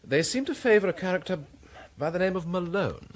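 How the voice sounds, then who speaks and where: An actor playing a curious, wry British gentleman helping with an investigation in a radio drama, husky and urbane. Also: high voice, animated, brisk, a little hiss or hum. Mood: informative, animated.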